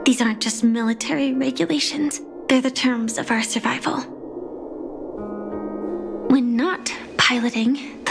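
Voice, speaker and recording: A woman playing a gentle young female knight in a video game, grieving yet composed, with a soft, measured, wistful delivery. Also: on the high side, creaky. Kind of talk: video game story acting.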